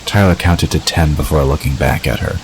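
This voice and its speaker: A man's voice: a bass voice, brisk, slight background noise, low-quality recording. A man narrating a scripted fantasy story for an audio drama.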